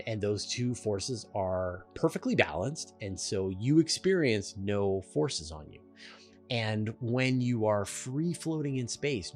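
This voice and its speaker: A man hosting a question-and-answer show, explaining things in an emphatic, informal, conversational way. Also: on the low side. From Canada.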